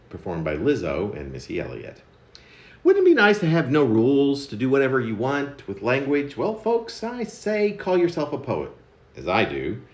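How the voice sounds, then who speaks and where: A man with a low voice reads his own poem in a roomy, echoing space. His delivery is conversational yet theatrical, with a wry edge and pitch that rises and dips freely through long, wordy phrases. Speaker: poet reading own poem. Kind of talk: spoken-word poetry reading with informal introduction.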